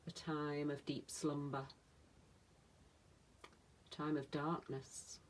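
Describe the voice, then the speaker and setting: A woman meditation teacher leading a guided relaxation in a soothing manner, speaking in short phrases with long pauses. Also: sits low, level tone, slight background noise. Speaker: yoga nidra meditation teacher.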